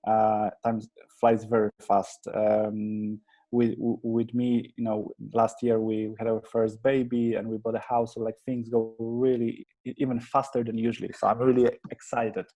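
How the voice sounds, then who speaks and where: A Polish-accented man in his thirties answers interview questions with a mellow, dark tone. His delivery stays flat and even, and he keeps restarting his sentences in an earnest, halting way. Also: on the low side, low-quality recording. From Poland. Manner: hesitant, many restarts and fillers, earnest. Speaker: interview guest, agency founder. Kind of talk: conversational interview.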